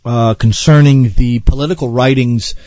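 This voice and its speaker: A man's voice: mid-range voice, fairly even intonation, moderate pace, warm tone, dry recording, lo-fi sound. A man hosting a radio talk show, lecturing earnestly with repeated phrases and frequent fillers. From Ireland.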